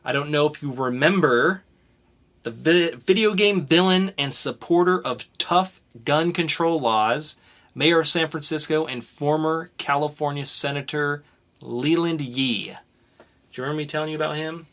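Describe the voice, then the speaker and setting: A young man riffs through the week's news as a podcast co-host, his voice bright and forward. He talks loosely, with ums and restarts, and rushes ahead when something excites him. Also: on the high side. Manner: chatty, with fillers and bursts of speed. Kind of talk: casual news chat.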